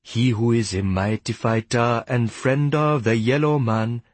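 A man voicing a prison work-gang leader who calls out a slow, rhythmic chant of solemn praise in an audiobook story. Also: medium pitch, steady tone, no room sound, voice pushed up, natural voice. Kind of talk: sung chant.

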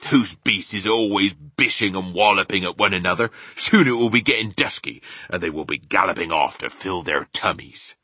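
Man as a folksy, husky friendly giant. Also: deep voice, moderate pace, few pauses, bright, forceful tone, dry recording, heavily acted. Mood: pleased, knowing. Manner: folksy, ungrammatical, confiding. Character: big friendly old-fashioned giant, male, gentle, mangles grammar. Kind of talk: dramatised children's storybook reading.

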